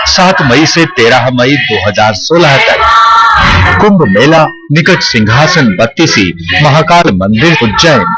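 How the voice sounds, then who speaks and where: A man's voice: medium pitch, low-quality recording. A man reading a scripted TV promo voice-over in a formal, declamatory way. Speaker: TV promo announcer. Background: loud music.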